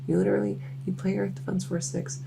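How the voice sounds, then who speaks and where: A woman's voice: medium pitch, little pitch movement, fast talker, gravelly, background noise. A woman voicing a lonely, socially awkward vampire who rambles enthusiastically in an ASMR audio roleplay. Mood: hushed excitement. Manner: rambling, enthusiastic info-dumping, many fillers. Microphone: close ASMR microphone.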